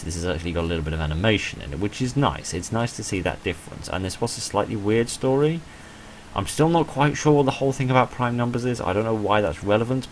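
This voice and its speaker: A man giving casual game commentary as a let's-player, in a measured, understated, mildly hedging manner. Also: low voice, slight background noise, rough recording. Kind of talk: casual game playthrough commentary, reading text aloud and reviewing.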